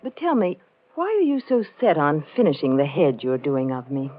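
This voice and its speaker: A woman's voice: deep voice, big pitch swings, normal speed. A woman playing a genteel, polite, unsuspecting lady in a 1940s radio drama. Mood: polite, curious. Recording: muffled, noisy 1940s radio recording.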